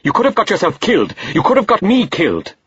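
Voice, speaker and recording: A man voicing a furious adult male agent, a pilot who shouts over the noise of a plane. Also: medium pitch, animated, a little fast, breathy edge, no echo, lo-fi sound, pitched above the performer's own voice.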